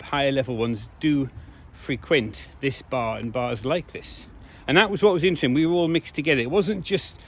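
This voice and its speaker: Male vlogger, slightly hoarse voice, emphatic and informal, mid-pitched, recorded outdoors in town. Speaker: video blogger. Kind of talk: casual monologue to viewers.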